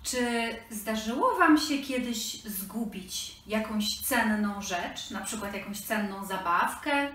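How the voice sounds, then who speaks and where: A woman's voice: mid-range voice, expressive, takes it slowly, fairly bright tone, slight background noise. A middle-aged woman presenting to children in a storytelling, teacherly style. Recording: roomy echo, slightly distant mic.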